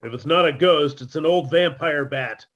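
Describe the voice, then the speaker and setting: A man's voice: a little high, moderate pace, a bit bright, highs cut off. A man playing a shabby, self-pitying musician neighbor who delivers dry punchlines in a 1950s-style radio comedy. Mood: wry.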